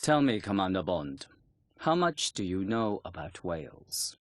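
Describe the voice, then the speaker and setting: A Chinese intelligence colonel for a game cutscene. The voice is low and dry, with little room sound. He speaks formally and deliberately, measured and guarded in every phrase. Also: sing-song, no room sound. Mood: grave, explaining.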